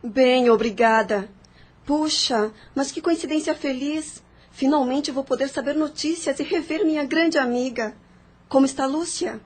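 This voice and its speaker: A woman playing a polite, embittered mother with deep sadness in an audio novel. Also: a little slow. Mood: pleased, polite. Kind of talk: audio novel dramatic acting.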